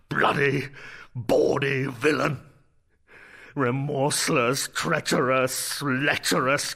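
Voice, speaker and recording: A man playing a brooding, grieving young prince in a Shakespearean drama, with a theatrical, emphatic delivery that moves between hushed and declaiming. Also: mid-range voice, gravelly, full character voice. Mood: raging.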